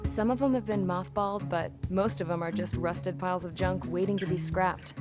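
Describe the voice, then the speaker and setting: A woman's voice: fairly low pitch, fairly even intonation, normal speed, natural voice. A woman voicing a wry young pilot girl. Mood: wistful. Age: young adult. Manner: plain-spoken, wry narration. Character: young female mechanic pilot, tough and wistful. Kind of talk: game cutscene narration. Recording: narration over loud music.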